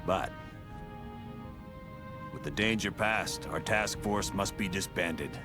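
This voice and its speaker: An actor playing a gruff, commanding spy agency director in a video game, blunt and authoritative. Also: male voice, low voice, takes it slowly, over music. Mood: gruff, frank. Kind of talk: video game cutscene acting.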